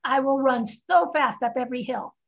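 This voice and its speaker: Cheeky little-sister scribe for stage drama, female reader: blunt, teasing, husky voice, dull lo-fi call audio. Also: high-pitched, normal speed. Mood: playful. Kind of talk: staged play reading over video call. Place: home room on video call. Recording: muffled video call audio.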